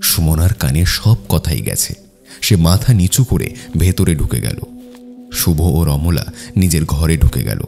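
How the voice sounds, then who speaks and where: A man narrates a cartoon tale in a very deep, gravelly voice. His storytelling rises and falls in a lively way, over soft music.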